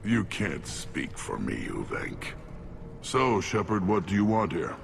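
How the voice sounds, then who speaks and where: Gravelly, very low alien warlord. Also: male voice, big pitch swings, noisy background, full character voice. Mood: scornful. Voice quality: gravelly, growling. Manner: slow, blunt, imperious. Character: gruff, contemptuous alien warlord and clan leader. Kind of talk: video game cutscene acting.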